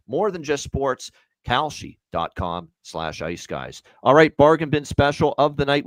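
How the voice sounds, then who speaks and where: A man's voice: mid-range voice, no echo, poor audio. A man hosting a hockey betting show, moving from upbeat sponsor reads to casual picks with frequent fillers. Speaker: host. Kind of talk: sports betting show chat.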